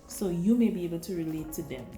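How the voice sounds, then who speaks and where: A woman with a Grenadian accent, a visiting author who reads a story aloud to a class and then gently answers the children's questions. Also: lowish voice, fast talker, echoey room, soft background music. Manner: gentle, encouraging, dramatic when reading.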